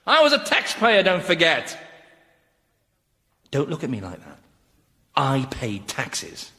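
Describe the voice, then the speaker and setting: An unrepentant, selfish rogue in radio comedy. He is defensive and whiny, with a fairly high, sharp, lively voice. A hall reverb surrounds it. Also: slow, exaggerated voice. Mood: cheeky, defensive. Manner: cheeky, defensive, excitable. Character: shifty, unrepentant, whining selfish man damned to Hell. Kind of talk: radio sitcom acting.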